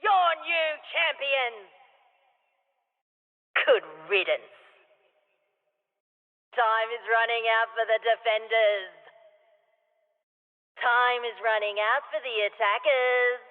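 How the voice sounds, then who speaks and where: An actor playing an imperious, sardonic junkyard arena announcer in a video game, with theatrical delivery. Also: male voice, extremely high pitch, takes it slowly, pauses often, smooth. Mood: imperious, mocking. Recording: long hall reverb, dull lo-fi sound.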